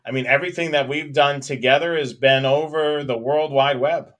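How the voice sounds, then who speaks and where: A man's voice: on the high side, steady tone, moderate pace, a bit bright. Man co-hosting a casual hobby live stream. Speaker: co-host of a live hobby show about police scanners.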